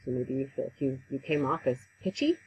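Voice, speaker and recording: A woman giving a casual, conversational software tutorial over a headset mic, with frequent ums. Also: extremely low pitch, sing-song, brisk, a little hiss or hum, low-quality recording. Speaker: instructor. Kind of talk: screen-recorded software tutorial.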